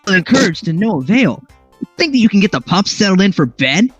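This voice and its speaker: A man's voice: high-pitched, very animated, fast talker, slightly rough, no echo, faint music behind. A man reading a story aloud expressively, mixed with playful, sweary banter. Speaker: amateur fan fiction reader.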